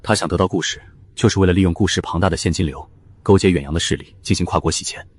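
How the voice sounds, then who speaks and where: Male actor as serious drama man, rapid delivery, raspy low voice, brisk. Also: no echo. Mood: urgent. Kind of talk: dramatic audio drama acting.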